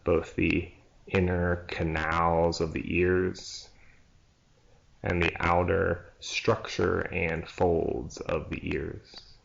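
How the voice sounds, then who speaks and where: A man leading a guided yoga nidra relaxation as a teacher, in a hushed, soothing manner with long pauses between short phrases. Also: deep voice, creaky. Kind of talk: slow guided relaxation meditation. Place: church room, live class. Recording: dull, muffled live recording.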